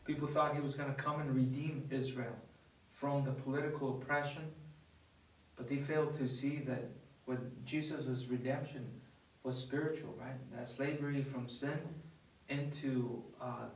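A young man, a priest, talking casually about scripture at a table, with many restarts and an animated way of telling stories. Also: mid-range voice, normal speed. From not from the US. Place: dining room at a table with others. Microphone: far camera. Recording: echoey room from far off.

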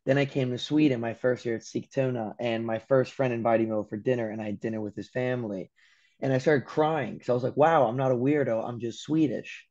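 Young LA man, guest on a video-call podcast; lively storyteller who voices quotes and swears, mellow tone, dull audio. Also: medium pitch, fairly quick. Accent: American. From Los Angeles, USA. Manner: animated storyteller, acts out quotes, swears casually. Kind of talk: casual interview chat. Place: room at home by a window.

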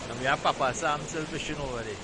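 A young man with a Trinidadian accent, casually commentating a fishing trip outdoors in an excitable, teasing, encouraging way. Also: a little high, quick pace, heavy background noise. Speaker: fishing vlogger. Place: rocky riverside outdoors. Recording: loud music over outdoor audio.

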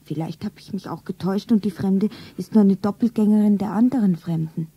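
An actress playing a lovestruck, anxious young shop girl in a romance radio play, breathy and often whispering, dreamy and sentimental. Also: mid-range voice, a little fast, mellow, dry recording. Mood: troubled. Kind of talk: 1970s German radio play, pulp romance.

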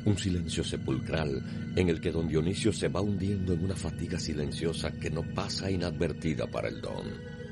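Super deep cuban guy, solemn story narrator, music playing behind. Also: lively intonation, normal speed, vocal fry. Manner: solemn, literary. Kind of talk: dramatic scripted narration.

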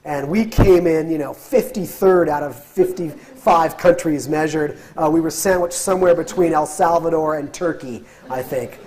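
A man's voice: a little high, moderate pace, hardly any pauses, a little mellow. A man in his thirties giving a lecture in a classroom, speaking animatedly with frequent restarts and fillers, on a lapel mic. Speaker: guest lecturer. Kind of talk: lecture with audience questions. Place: classroom with whiteboard.

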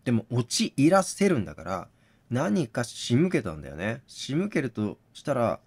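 A man doing read-aloud game commentary, musing and deliberating as he thinks out loud. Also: mid-range voice, huge pitch swings, normal speed. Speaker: gaming channel host.

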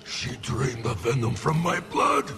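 A man's voice: mid-range voice, a little slow, over loud music, natural voice. An actor playing a weakened, desperate hulking male brute in a video game. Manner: strained, pleading.

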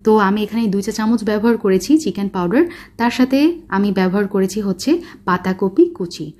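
A woman with a Bangladeshi Bengali accent narrates a cooking recipe at a brisk, rapid clip. Her voice sits at a middling pitch. She talks in a chatty way, walking through each step and naming every measurement. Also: darkish tone. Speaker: cooking channel host.

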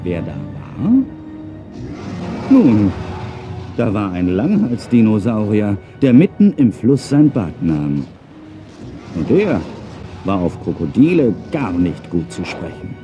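A man's voice: lowish voice, very animated, slow, pauses often, lo-fi sound. A man narrating a children's audio drama in a dramatic storytelling manner. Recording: music behind the voice. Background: music.

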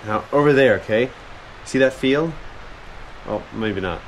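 A man's voice: mid-range voice, unhurried, slight background noise, close to an everyday voice, low-quality recording. An actor playing an eager, defensive teenage boy who tells spooky stories in a naturalistic horror audio drama. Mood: hesitant, insistent. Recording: music under the dialogue.

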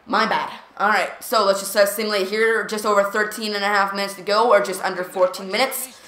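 A woman giving excited play-by-play of a hockey video game, stuttering and repeating words as she reacts. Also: mid-range voice, a little fast. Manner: jumpy, reactive, lots of "come on" and "here we go". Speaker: gaming channel host. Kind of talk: excited live gameplay commentary. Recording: roomy echo.

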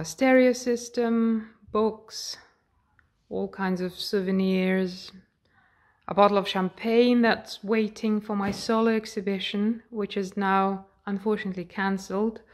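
Unhurried British woman touring her studio. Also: mid-range voice. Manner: calm, explanatory, pointing things out. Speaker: portrait artist. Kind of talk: guided studio tour narration. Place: home art studio, indoors.

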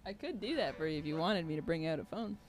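A woman's voice: low-pitched, animated, fast talker, loud music behind the voice, rough recording. A young adult woman giving brief casual asides as a musician co-host, speaking at a stage microphone. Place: indoor curtained small stage studio. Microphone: stage microphone on stand.

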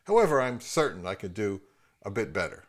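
A man's voice: lowish voice, big pitch swings, brisk. A man in his fifties giving scripted commentary on products as the host of a review channel.

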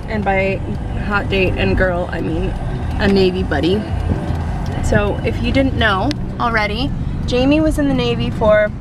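A woman's voice: mid-range voice, fairly even intonation, a little slow. A young woman chatting casually and playfully for a family vlog, with lots of exclamations. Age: young adult. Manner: bubbly, playful, exclaims often. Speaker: vlogger. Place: car and family home. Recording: noisy car interior.

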